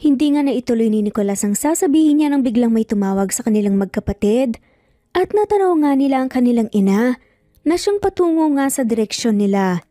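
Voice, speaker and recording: A woman's voice: on the high side, animated, brisk, warm tone, no room sound, clean studio sound. A woman narrating a scripted dramatic story as a storyteller.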